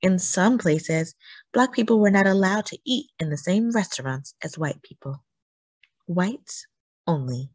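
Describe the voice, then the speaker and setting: A woman reads a story aloud for children in a slightly low, husky voice. Her intonation is animated and expressive, with deliberate emphasis on phrases. The sound is dry and close, with little room echo. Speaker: children's book reader.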